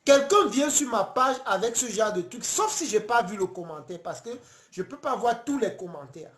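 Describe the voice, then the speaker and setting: A man from Cameroon, hosting a casual late-night live stream, talking earnestly and passionately with many halting restarts. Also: sits high, very animated, a bit bright, reverberant.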